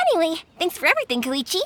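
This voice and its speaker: An actress playing a cheerful, easygoing young fireworks maker in a video game, talking in a chatty, casual, breezy way. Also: female voice, very high-pitched, fairly bright tone, slight background noise, full character voice. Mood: playful.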